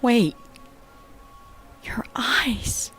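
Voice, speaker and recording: A woman's voice: on the high side, very slow, fairly bright tone, in a whisper, soft background music. An actress playing a frightened, sick young woman in a horror audio drama, urgent, agitated and pleading. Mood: anxious, shaken.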